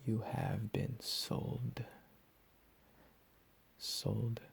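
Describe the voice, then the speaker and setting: A man playing a deranged, menacing captor in a whispered ASMR roleplay, profane and slow with drawn-out emphasis. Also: mid-range voice, flat delivery, gravelly, husky, exaggerated voice. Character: deranged menacing male captor, recently escaped asylum.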